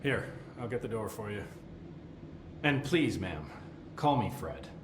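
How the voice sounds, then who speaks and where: An actor playing a fussy, deadpan middle-aged keeper of strange items, with dry rambling talk and awkward fillers. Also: male voice, on the low side, a little slow. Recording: echoey game cutscene with faint hiss.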